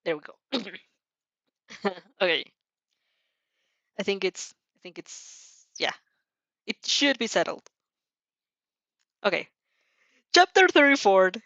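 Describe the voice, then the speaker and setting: A woman's voice: medium pitch, big pitch swings, slow, pauses often, fairly bright tone, no room sound, highs cut off. A woman host chatting casually while reacting to fan fiction, in a giggly, apologetic, scattered way that keeps interrupting itself.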